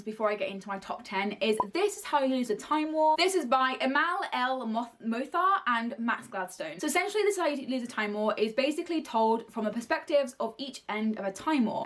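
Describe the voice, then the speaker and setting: A woman's voice: on the high side, fairly bright tone. A young woman in her early twenties reviewing books in a casual, gushing way and swearing freely. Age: early 20s. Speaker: book reviewer. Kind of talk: casual book review to camera.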